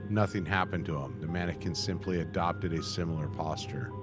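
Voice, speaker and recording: Low-voiced man reading aloud over music. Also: a little fast. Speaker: reaction video host. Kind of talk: reading on-screen text aloud with casual commentary. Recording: music playing behind the voice.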